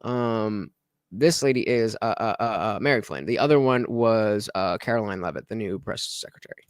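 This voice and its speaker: A man's voice: on the low side, moderate pace, clear, resonant tone, dry recording, clean studio sound. A man hosting a live stream, chatting casually and rambling with many hesitations while he reacts to a clip.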